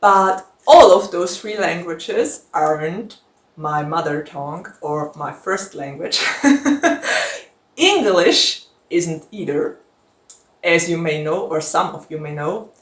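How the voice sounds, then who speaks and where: A woman's voice: lowish voice, animated, slow pace. Chatty German woman in an echoey room. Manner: chatty, laughs often, frank. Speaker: book YouTuber. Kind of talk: casual Q&A vlog.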